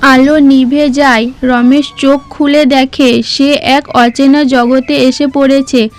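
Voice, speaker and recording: A woman's voice: sits high, flat delivery, slow, a little hiss or hum. A woman narrating a scripted cartoon folk tale as a storybook narrator. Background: background music.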